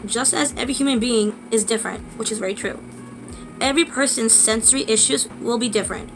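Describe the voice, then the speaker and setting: A woman with a sore throat, reading a book aloud slowly in a casual vlog, with halting restarts and asides. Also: on the high side, over music, rough recording.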